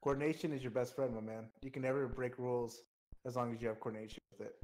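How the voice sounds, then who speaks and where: A man's voice: medium pitch, fairly even intonation, fast talker, a little mellow, low-quality recording. A man casually explaining things like an informal coach, walking through examples. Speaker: air traffic controller coaching a flight sim player.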